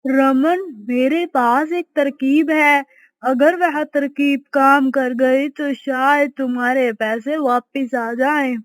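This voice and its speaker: A woman's voice: high voice, fairly even intonation, takes it slowly, dry recording. A woman playing a kindly, concerned male village landlord in a cartoon story, earnest and solicitous. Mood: regretful, earnest. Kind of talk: scripted cartoon story dialogue.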